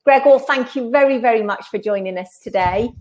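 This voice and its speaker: A woman hosts a live online show with an upbeat welcoming manner. Her voice sits a little high, and she speaks over a video call. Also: a little fast. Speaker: host.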